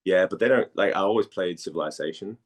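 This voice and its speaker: A man talks casually as an interview guest. He speaks in a halting way, circling back over phrases as he searches for words. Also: low-pitched. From Denmark. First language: Danish.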